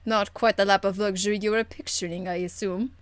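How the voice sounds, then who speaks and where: A woman's voice: medium pitch, steady tone, a little fast, dry recording, natural voice. A woman voicing a stern, proper female warrior in a video game, speaking formally with a dry, weary exasperation. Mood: dry, exasperated. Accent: foreign, European-tinged. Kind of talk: video game character acting.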